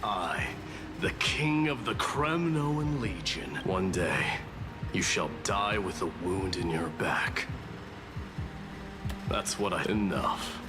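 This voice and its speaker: A proud, defiant warrior king in a dramatic video game. His declamatory delivery is slow and regal, rising and falling widely. Loud music surrounds him. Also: low voice, expressive. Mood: proud, solemn.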